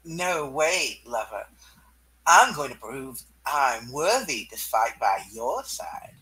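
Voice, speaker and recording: A performer voicing a bold, flirty female costumed thief with a playful, breathy drawl in a comic book puppet reading. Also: medium pitch, very animated, slow, bright, forceful tone, full character voice, rough recording. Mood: defiant.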